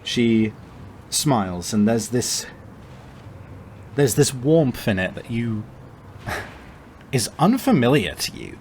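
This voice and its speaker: A man narrating a tabletop roleplay game as game master, improvising in a halting, conversational way. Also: mid-range voice, very animated, darkish tone, slight background noise. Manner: halting, conversational, frequent searching pauses.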